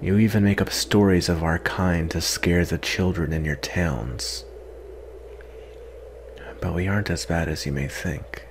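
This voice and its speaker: A man acting out a proud, sardonic captive vampire in a soft-spoken, disdainful ASMR roleplay. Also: sits low, steady tone, unhurried, a little hiss or hum. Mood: bitter, defensive. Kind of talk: ASMR roleplay, acted monologue.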